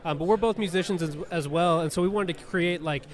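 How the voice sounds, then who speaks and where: A laid-back male co-host with a slightly high voice, chatting casually. He keeps calling his guests man. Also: fast. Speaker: podcast co-host. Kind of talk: casual interview chat.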